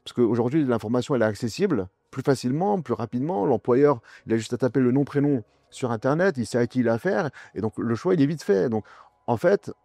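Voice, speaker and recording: Hesitant man with a dark, dry voice. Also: medium pitch, expressive, creaky, dry recording. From France. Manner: halting, many restarts and fillers. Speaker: guest. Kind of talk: interview answers.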